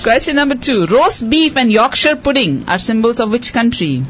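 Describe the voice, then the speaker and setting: A woman hosting a quiz show for children, repeating each question slowly and cheering the contestants on. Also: on the high side, expressive, lo-fi sound, slight background noise. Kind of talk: hosting a radio quiz for kids.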